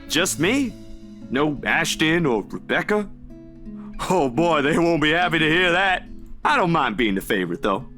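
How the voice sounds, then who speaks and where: A man's voice: a little high, very animated, normal speed, pushed and bright. A male actor playing an easygoing, protective young best friend in a visual novel, with a casual, caring manner. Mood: teasing. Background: guitar music.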